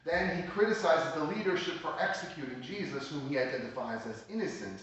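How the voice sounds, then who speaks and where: A man delivers a Sunday sermon in a steady, explaining manner, his voice sitting a little high. The sound carries heavy church reverb, as if picked up from a distance across the sanctuary. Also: highs cut off. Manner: measured teaching tone, explains scripture plainly. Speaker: pastor. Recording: echoey church hall, mic at a distance.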